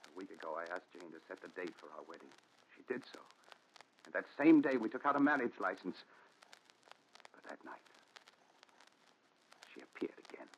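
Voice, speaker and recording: An actor playing a haunted, fearful man in a 1940s radio thriller, with an intense, halting, dramatic delivery. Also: low voice, big pitch swings, gravelly, heavily acted. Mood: brooding, fearful. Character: haunted, fearful man confessing terrors to his doctor. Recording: muffled, noisy 1940s radio recording.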